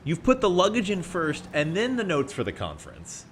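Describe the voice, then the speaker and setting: A man's voice: a little high, wildly swooping pitch, normal speed, a bit bright, slight background noise. A man playing an arrogant, short-tempered scientist in an audio drama, sarcastic and belittling in manner. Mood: irritated, scolding.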